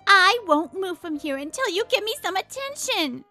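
A woman's voice: very high-pitched, big pitch swings, moderate pace, sharp tone, faint music behind, exaggerated voice. A woman playing a cute, clingy, pouty teenage pop idol girl in a manga romantic comedy. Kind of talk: cute anime-style voice acting.

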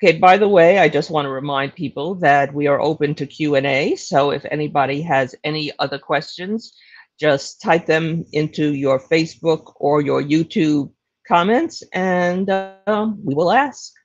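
A woman's voice: sits low, moderate pace. An older woman moderating a casual live interview from indoors over a video call with slightly dull audio.

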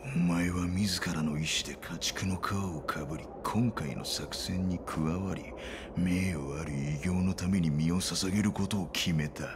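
A menacing conspirator commander from a video game. His low, stern voice is imperious and domineering, with clear ambient noise behind it. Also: fairly even intonation, measured pace. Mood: stern, accusing.

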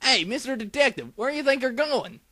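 Southern US barkeep, male actor, parody cartoon: folksy, earnest, sharp animated voice, very dry. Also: very high-pitched, a little fast, no room sound, heavily acted. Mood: challenging. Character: chatty small-town Southern male bartender. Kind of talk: parody cartoon dub acting.

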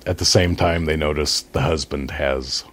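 A man talking casually as a podcast guest, explaining things in a halting way and correcting himself as he goes. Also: rumbling low, steady tone, fairly bright tone. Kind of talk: casual conversational movie discussion, retelling a story.

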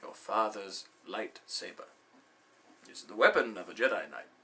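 A man performing a film monologue as an old, wise Jedi mentor, grave and wistful, with a measured storytelling manner. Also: on the low side, animated, pushed and bright, husky, voice pushed down, heavily acted. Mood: wistful, instructive.

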